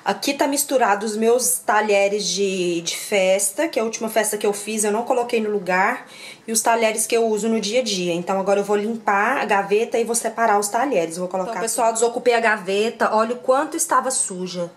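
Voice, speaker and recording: A woman with a Brazilian Portuguese accent talks straight to her viewers in a relaxed, chatty way as she tidies up. Her voice sits at an everyday middle pitch. It is recorded on a handheld camera that moves around her home kitchen. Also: fairly quick. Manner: chatty, addresses viewers directly, talks while cleaning. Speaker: vlogger. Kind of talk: casual home vlog narration. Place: home kitchen, indoors, medium-sized. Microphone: handheld camera, moved around the room.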